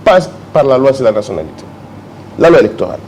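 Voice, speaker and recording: A man's voice: mid-range voice, sing-song, fast, darkish tone, slight background noise. A man speaking as a jurist guest in a morning interview, explaining legal texts and reading articles aloud to the host. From Democratic Republic of the Congo.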